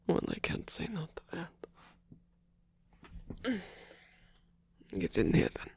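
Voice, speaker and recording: A man playing a flirtatious, theatrical rock singer in an intimate ASMR romance roleplay, teasing and murmuring with breathy, husky, hesitant speech. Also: fairly quick, darkish tone, natural voice. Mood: relaxed, drowsy. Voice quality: breathy, husky, often whispered. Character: flirtatious theatrical male rock singer, tired, playfully indulgent lover.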